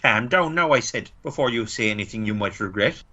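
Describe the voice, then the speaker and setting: A man's voice: medium pitch, lively intonation, a little fast, bright, forceful tone, dull audio. A man with an Irish accent playing a complacent, dismissive small-town police officer in an amateur radio play. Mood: placating, officious. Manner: placating, hesitant, officious.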